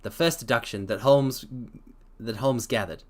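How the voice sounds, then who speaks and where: A man's voice: medium pitch, normal speed. A man streaming a dramatic story reading, breaking off with enthusiastic, chatty asides to viewers.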